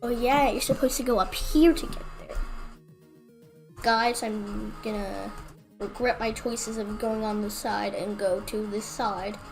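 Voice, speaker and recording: A woman's voice: medium pitch, fairly even intonation, measured pace, vocal fry, faint music behind. A woman streaming a platform game, chatting casually with giggly, playful, defensive banter. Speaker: gaming streamer. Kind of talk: casual gaming commentary.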